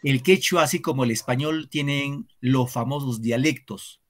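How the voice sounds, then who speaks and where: A man's voice: medium pitch, normal speed. A man, teaching an online literature class to secondary students in an earnest, reflective way, often asking rhetorical questions. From Peru.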